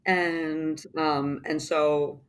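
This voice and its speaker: A woman's voice: sits low, flat delivery, very slow. A young adult woman as an interview guest, talking in a thoughtful, casual way with many "like" and "um" fillers. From mainly the UK.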